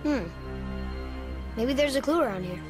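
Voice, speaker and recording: Eager high-voiced young boy. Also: moderate pace. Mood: thoughtful, curious. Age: child voice, young boy. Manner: excitable, earnest. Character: eager, curious young boy, son of a warrior. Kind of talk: video game character acting. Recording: game music behind voice.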